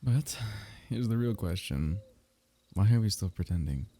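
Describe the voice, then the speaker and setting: A young man speaking softly and intimately in an ASMR roleplay, teasing and sometimes dropping into a whisper. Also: fairly low pitch, expressive, a little slow, no echo.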